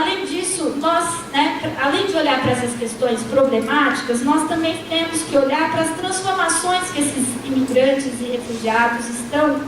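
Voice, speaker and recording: A woman lecturer giving an academic panel talk, tagging her sentences with a questioning "né" and restarting words mid-way. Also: high voice. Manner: frequent tag "né", restarts mid-word. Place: university lecture room. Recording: distant, echoey lecture hall with noise.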